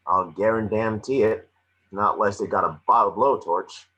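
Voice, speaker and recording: A man's voice: on the low side, moderate pace, pushed and bright, husky. A man playing a confident, plainspoken rural workman in a read screenplay, on muffled video-call audio. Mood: matter-of-fact.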